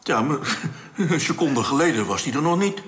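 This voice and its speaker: A man's voice: on the high side, sing-song, normal speed, fairly bright tone. An actor playing a blunt, incredulous crewman who exclaims colloquially in a Dutch science fiction radio play. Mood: indignant, baffled. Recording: echoey reverberant hall effect.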